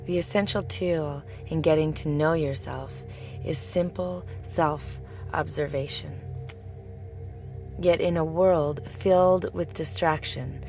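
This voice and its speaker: A woman teaching yoga nidra, narrating a guided meditation in a soothing, deliberate, measured way. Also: deep voice, a little mellow.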